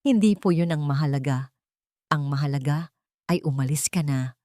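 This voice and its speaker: A woman's voice: low voice, moderate pace, warm tone, dry recording, studio quality, close to an everyday voice. A woman voicing a proud, cold middle-aged father in a dramatic story, scornful and commanding.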